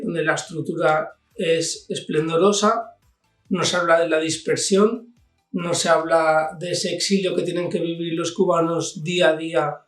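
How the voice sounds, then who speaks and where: A man with an accent from Spain, giving an informal book review as a channel host. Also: a little high. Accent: Spanish from Spain. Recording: echoey room, distant mic, music behind.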